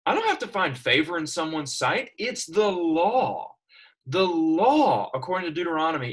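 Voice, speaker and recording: A man's voice: a little high, breathy edge, low-quality recording. A man teaching a classroom Bible lesson, asking his students questions as he explains. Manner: animated, asks students questions.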